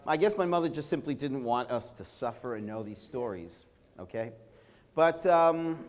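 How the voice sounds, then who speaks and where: A middle-aged man from Canada with a Brooklyn accent, a rabbi giving an inspirational talk in a conversational, anecdotal way, often checking in with his listeners. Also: medium pitch. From Toronto, Canada. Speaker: rabbi, speaker. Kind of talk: inspirational religious talk to an audience. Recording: reverberant hall sound.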